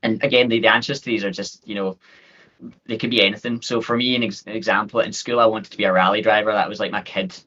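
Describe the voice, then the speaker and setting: A man in his thirties with a thick Scottish accent, giving an upbeat, quick webinar talk full of fillers and restarts. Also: on the low side, steady tone, pushed and bright. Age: 30s. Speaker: guest speaker. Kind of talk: webinar presentation. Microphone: video call. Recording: dull lo-fi call audio.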